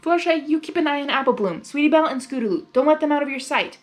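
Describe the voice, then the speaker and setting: A woman reads as a young pony princess from a storybook, earnest and commanding. The voice is high and urgent, with music behind it. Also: lively intonation, racing. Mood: urgent, firm.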